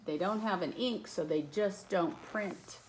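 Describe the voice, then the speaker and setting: A woman's voice: mid-range voice, unhurried, slight background noise, rough recording. A woman teacher reading a rhyming children's book aloud, with casual explaining asides spoken directly to young listeners.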